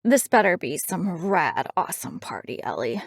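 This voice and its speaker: A woman voicing a brash, mischievous teenage party girl in a cartoon, loud and slangy. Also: on the low side, big pitch swings, normal speed, slightly rough, no room sound, studio quality, heavily acted.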